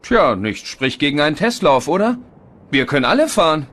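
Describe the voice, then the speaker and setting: A man voicing a cocky, friendly young race car with a breezy, self-assured manner in an animated film dub. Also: fairly high pitch, a little fast. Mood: pleased.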